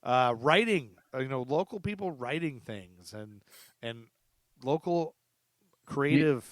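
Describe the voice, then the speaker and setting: Upbeat podcast host with a dry close sound. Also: male voice, medium pitch, animated, a little slow, dry recording. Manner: upbeat, laughs easily, chatty with restarts. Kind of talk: casual chat.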